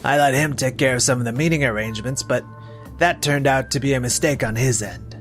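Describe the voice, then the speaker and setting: A man's voice: medium pitch, a little fast, soft background music, natural voice. A man playing an evasive, excuse-making salesman in a scripted manga dub. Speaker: sales representative character.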